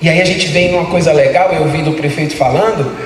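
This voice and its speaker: A middle-aged man lectures teachers in a large hall. His fairly high voice rings through a long, roomy reverb, picked up from some distance away. He keeps the audience involved with repeated rhetorical questions. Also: fast talker, slight background noise. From Salvador, Bahia, Brazil. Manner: rhetorical, asks the audience questions, tags phrases with "né". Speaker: guest lecturer speaking to teachers. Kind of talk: motivational talk to an audience. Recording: very echoey hall, voice heard from a distance.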